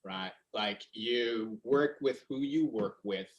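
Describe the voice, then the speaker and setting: A man's voice: fairly low pitch, takes it slowly, muffled recording. Man, podcast co-host, emphatic, cuts in, roomy echo. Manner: emphatic, jumps in over others. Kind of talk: casual chat. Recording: echoey room.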